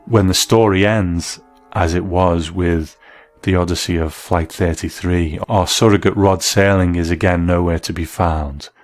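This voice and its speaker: A man hosting a show, delivering measured, scripted commentary in the manner of an appreciative reviewer. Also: low-pitched, steady tone. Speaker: host. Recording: faint music under the voice. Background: soft background music.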